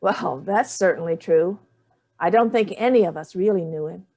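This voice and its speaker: A woman's voice: lowish voice, moderate pace, darkish tone. A middle-aged woman playing a sardonic, wounded older mother in a staged play reading, with clipped, cutting delivery. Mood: tense, probing.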